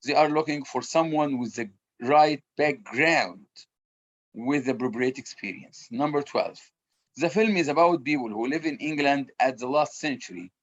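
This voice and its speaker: A man from Egypt with an Egyptian accent, teaching an English class and halting often, repeating his words. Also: medium pitch, dull audio.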